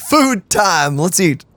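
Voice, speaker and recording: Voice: medium pitch, big pitch swings, extremely slow, very noisy. A let's-play host giving casual, jokey commentary, laughing often and breaking into exclamations.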